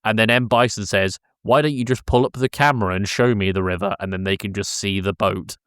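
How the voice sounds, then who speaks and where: A man's voice: fairly low pitch, fairly even intonation, a little fast, a bit bright, clear, resonant tone, dry recording, clean studio sound. A man co-hosting a podcast, casually recapping a film in a jokey, loose storytelling way. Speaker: podcast co-host.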